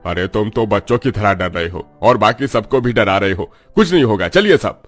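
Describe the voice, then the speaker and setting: A man's voice: fairly low pitch, expressive, moderate pace. Brusque, scolding village man played by a man. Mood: chiding. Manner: brusque, complaining. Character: gruff, scolding village man, impatient and indignant.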